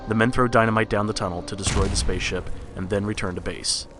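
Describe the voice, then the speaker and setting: A man's voice: fairly low pitch, fairly even intonation, quick pace, music in the background. A man narrating a scripted horror story in the style of a read-along storybook record.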